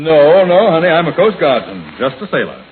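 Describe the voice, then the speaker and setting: An actor playing a wry, gallant gentleman sailor in a 1940s radio comedy, polite and dryly hesitant. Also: fairly high pitch, big pitch swings, brisk, crowd noise. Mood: amused, gallant. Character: wry, gallant ex-mayor turned Coast Guard sailor. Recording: noisy narrow-band 1940s radio recording.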